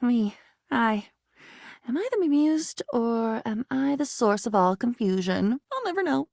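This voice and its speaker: High pitched dreamy girlish game character, swoops up and down, earnest n whimsical, kinda flowery and theatrical. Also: lively intonation, a little slow, gravelly, darkish tone, no echo, clean studio sound. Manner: whimsical, theatrical, formal flowery diction mixed with chatty asides. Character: female living diary, young, earnest, dreamy, newly self-aware. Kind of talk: video game character voice acting.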